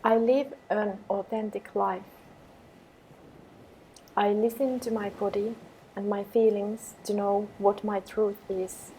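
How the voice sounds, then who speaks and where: A woman meditation teacher guiding affirmations in a calm, measured way with pauses between phrases. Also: medium pitch, a little hiss or hum, rough recording. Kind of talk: guided meditation with repeated affirmations.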